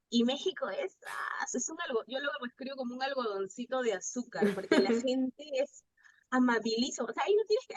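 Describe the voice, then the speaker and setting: A woman's voice: a little high, poor audio. Chatty Peruvian woman telling anecdotes. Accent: Peruvian Spanish. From Peru. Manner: chatty, animated anecdotes with quoted dialogue. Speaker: guest, pastry chef. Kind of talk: casual conversational storytelling.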